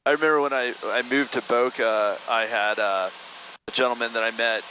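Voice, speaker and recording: A man's voice: mid-range voice, steady tone, brisk, lo-fi sound. A man flying a small airplane, talking through his flying and radio calls over an aviation headset with faint engine noise. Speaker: private pilot. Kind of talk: casual narration while flying and radio readbacks. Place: small airplane cockpit in flight.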